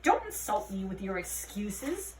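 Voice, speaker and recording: A woman voicing a gruff, angry male fairy police commander who scolds in a storybook reading. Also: mid-range voice, sing-song, measured pace, darkish tone. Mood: roaring. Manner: barking, scolding. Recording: roomy, distant mic, faint noise.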